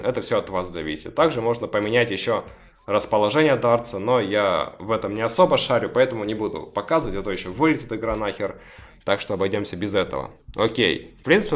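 A man casually talking viewers step by step through installing a game mod, a little hoarse from a cough. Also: mid-range voice. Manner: chatty, talks viewers through each step. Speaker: gaming video creator. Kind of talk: casual screen-recorded mod tutorial.

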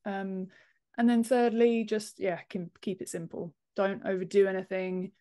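A woman's voice: medium pitch, flat delivery, unhurried, warm tone. A woman in her thirties giving explanatory answers as an interview guest on a video call. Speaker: podcast guest, book formatter. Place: home office, indoors, on a video call. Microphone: wired earphones on a video call.

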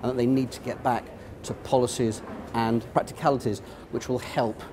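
A middle-aged man reporting on location at a conference venue, in a dry, measured analytical way. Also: mid-range voice, little pitch movement, background noise. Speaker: political commentator and reporter. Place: busy conference venue indoors.

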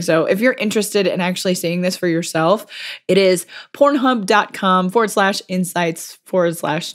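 A woman hosting a solo podcast, her voice a bit hoarse from a cold, talking chattily and laughing often. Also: lowish voice. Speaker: podcast host.

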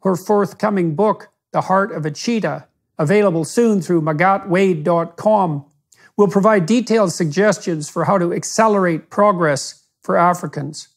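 A middle-aged man hosting an interview, reading a measured, formal introduction of his guest. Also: sits high. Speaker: interviewer host.

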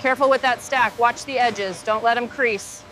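A woman factory worker giving brisk instructions in scripted dialogue. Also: on the high side, steady tone, fairly bright tone, a little hiss or hum, rough recording.

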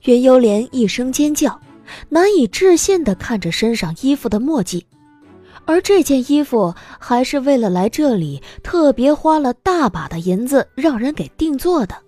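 A woman narrating a scripted audio drama in an expressive storytelling style. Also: sits high, normal speed, faint music behind.

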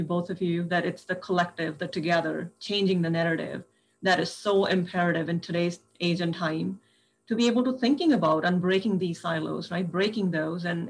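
A woman's voice: fairly low pitch, slight background noise, poor audio. A woman hosting a webinar on a video call, effusive, often adding "right?". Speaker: webinar host. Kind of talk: hosting remarks.

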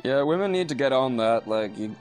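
An Australian young man in loose gaming banter with a friend, quick to laugh and swear. His voice sits at a middling pitch, and soft game music plays quietly behind him. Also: animated. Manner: laughs often, crude joking asides. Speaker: let's play commentator.

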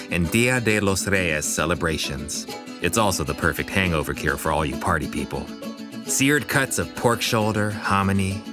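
Low, savoring story narrator. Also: male voice. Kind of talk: scripted narration.

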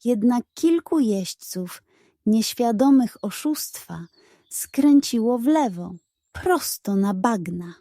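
Woman, children's story narrator, unhurried pace, soft mellow tone, dry close sound, expressive reading. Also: fairly high pitch, no room sound. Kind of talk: scripted story narration, expressive read-aloud.

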